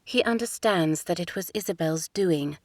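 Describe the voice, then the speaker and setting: A woman voicing a proud young princess who speaks in a crisp, formal way. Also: lowish voice, normal speed, dry recording, lightly acted. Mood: reserved.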